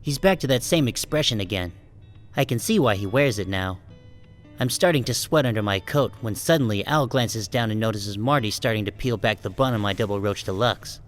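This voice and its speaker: A man's voice: lowish voice, brisk, faint music behind, natural voice. A man playing a wary, resentful sheep who narrates his own story in a wry, guarded audiobook style. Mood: wry, uneasy. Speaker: first-person narrator and protagonist. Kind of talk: scripted first-person audiobook narration.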